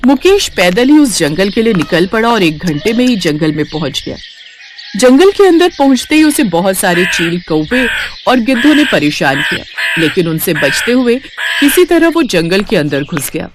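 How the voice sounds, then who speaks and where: Expressive woman story narrator. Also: medium pitch, moderate pace, noisy background. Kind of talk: scripted children's story narration.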